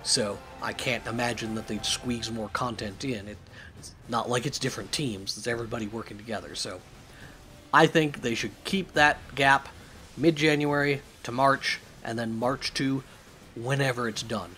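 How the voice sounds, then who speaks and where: A man hosting a channel, recapping game news with opinions and many fillers, his voice raspy and hoarse from a cough. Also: medium pitch, normal speed, bright, forceful tone, slight background noise. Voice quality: raspy, strained. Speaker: YouTube channel host.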